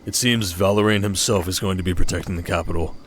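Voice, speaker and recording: A man playing a steady, protective desert king in an ASMR audio drama, speaking in a measured, intimate way. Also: low-pitched, slight background noise, natural voice. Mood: concerned, tender.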